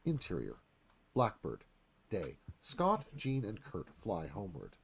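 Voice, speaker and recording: A man's voice: low voice, wildly swooping pitch, a little slow, vocal fry, husky. A man reading screenplay stage directions aloud as scripted narration.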